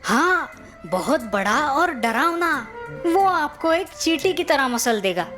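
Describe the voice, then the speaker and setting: A woman voicing a clever young village man in a cartoon, a calm trickster who talks politely and persuasively. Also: high voice, slow pace, over music, full character voice. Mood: earnest, warning. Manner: polite, persuasive, mock-respectful. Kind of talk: Hindi cartoon story acting.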